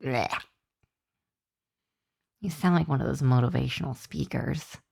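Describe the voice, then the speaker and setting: Woman doing a teasing vampire college girl, asmr roleplay, mellow slow voice, very dry close sound, playful. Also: rumbling low, no echo, heavily acted. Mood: teasing, argumentative. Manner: playful, teasing, drawn-out delivery with breathy asides.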